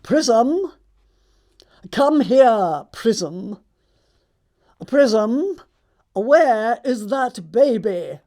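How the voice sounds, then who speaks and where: A woman's voice: fairly high pitch, very animated, very slow, long pauses, mellow, heavily acted. A woman playing a snobbish, haughty older lady in a stage comedy audiobook, with an upper-class English accent and a pompous, commanding manner. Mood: accusing. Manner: pompous, commanding, emphatic enunciation.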